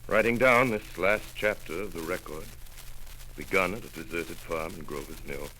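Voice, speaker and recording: An actor playing a solemn middle-aged astronomer who survived the invasion and writes his account, narrating in a grave, halting 1930s radio drama style. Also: deep voice, pushed and bright, a little hiss or hum, voice pushed down, low-quality recording. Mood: somber.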